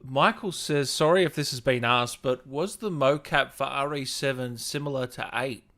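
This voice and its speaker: A man's voice: medium pitch, moderate pace, a bit bright. A man hosting a casual interview, informal and enthusiastic, chatting and reading out viewer questions. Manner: informal, mildly sweary, enthusiastic. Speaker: interview host.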